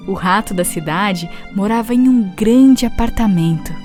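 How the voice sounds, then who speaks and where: A woman's voice: medium pitch, unhurried. A woman with a Brazilian accent narrating a scripted children's story. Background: music.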